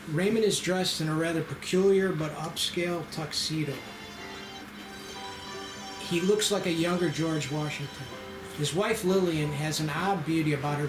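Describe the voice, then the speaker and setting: A man narrating a screenplay at a table read with a dramatic storytelling delivery. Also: fairly high pitch. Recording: echoey room with background music.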